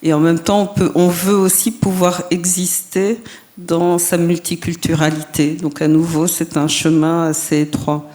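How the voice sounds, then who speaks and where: A woman from France, a filmmaker and writer, answering a panel's questions in a thoughtful, deliberate way with frequent hesitations. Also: fairly low pitch, flat delivery, dark tone, soft background music.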